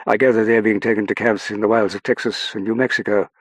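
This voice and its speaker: A man voicing a brisk, courteous young upper-class English official in an audiobook, breezy, polished and self-assured. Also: on the low side, steady tone, dry recording, voice pushed up, lightly acted. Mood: breezy, chatty.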